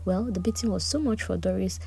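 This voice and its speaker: A woman gives a chatty, animated recap of a reality-show drama, with personal asides. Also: medium pitch, brisk, creaky. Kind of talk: casual recap commentary.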